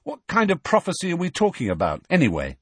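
Cocky teen boy hero, fast and raised in pitch; male narrator's take. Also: huge pitch swings, husky, no room sound, full character voice. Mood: defiant. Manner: brash, talking fast to stall. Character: defiant teenage boy wizard, reckless and quick-talking. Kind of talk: audiobook character voice.

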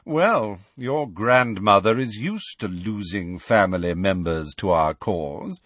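A man's voice: fairly low pitch, lively intonation, slow, fairly bright tone, dry recording, heavily acted. A man voicing a cold, arrogant villain who drawls and sneers. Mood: cold contempt.

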